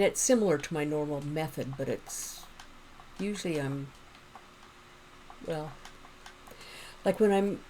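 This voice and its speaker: A woman streaming a game, chatting casually with viewers and rambling as she thinks out loud. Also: sits low, slight background noise. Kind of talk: casual gameplay chat with viewers.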